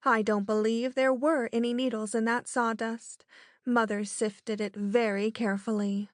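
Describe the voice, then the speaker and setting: A sensible young girl speaks deliberately, scornful of any fuss, as a woman reading aloud puts her on. Her voice sits a little high. The sound is dry and close. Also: little pitch movement, no room sound, lightly acted.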